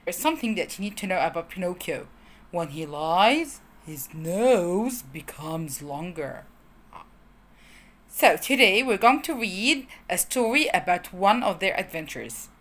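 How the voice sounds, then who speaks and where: Woman, storybook narrator, slow, very lively pitch, talks to children. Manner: animated, addressing children. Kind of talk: reading a children's storybook aloud.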